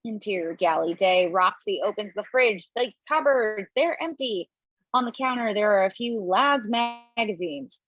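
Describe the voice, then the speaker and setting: A woman narrating stage directions at a table read from home, lively and expressive, with small slips. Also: mid-range voice, measured pace, no echo. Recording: dull lo-fi, dry.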